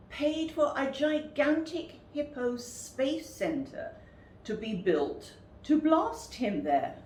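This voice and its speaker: Lady reading a kids book out loud, slow and expressive, echoey room, sounds a bit far off. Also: on the high side. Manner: expressive, deliberate, asks listeners questions. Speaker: storyteller reading to children. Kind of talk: children's picture-book read-aloud. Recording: roomy, distant, noisy recording.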